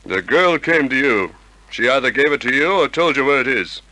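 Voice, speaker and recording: An actor playing a menacing, smooth-talking gangster in old-time radio crime drama, deliberate and sly. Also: male voice, medium pitch, lively intonation, sharp tone, dry recording, rough recording. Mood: threatening.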